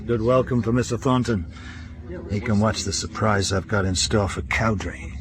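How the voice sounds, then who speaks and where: Low-voiced, sullen prisoner father played by a man. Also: normal speed, background noise, natural voice. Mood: quietly scheming. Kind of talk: period radio drama acting.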